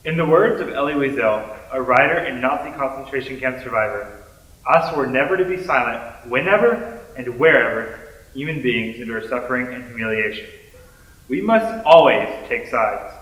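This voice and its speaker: A man reciting a scripted theater piece in a deliberate, declamatory manner, as a student performer. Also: mid-range voice, fairly bright tone. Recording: echoey hall, distant microphone.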